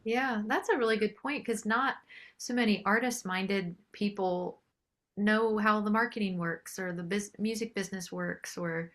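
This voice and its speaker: A woman's voice: medium pitch, measured pace, fairly bright tone. A woman, a singer-songwriter guest, chatting casually in an interview.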